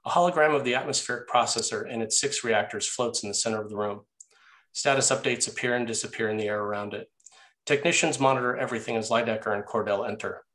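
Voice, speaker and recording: Casting: male narrator for scripted scene descriptions at a table read, a little below average pitch. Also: a bit bright. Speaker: narrator reading stage directions.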